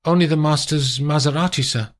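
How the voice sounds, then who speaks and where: A man's voice: on the high side, brisk, pitched above the performer's own voice, natural voice. A man doing a polite raised-voice chauffeur. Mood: deferential. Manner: formal, respectful, addresses others as sir and madame. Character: deferential male chauffeur, polite and quiet.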